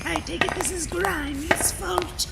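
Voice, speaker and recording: A woman playing a haughty, sharp-tongued old desert witch in an audio drama, with a cracked elderly voice and theatrical scolding delivery. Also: very high voice, fairly even intonation, unhurried, exaggerated voice. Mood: scornful. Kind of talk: fan audio drama acting. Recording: long hall reverb, clear background noise.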